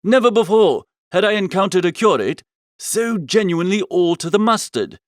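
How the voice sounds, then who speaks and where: A man voicing an amiable, flustered upper-class young gentleman, chattily narrating a comic audiobook in old-fashioned slang. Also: high-pitched, expressive, moderate pace, no echo, clean studio sound. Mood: amused narrating.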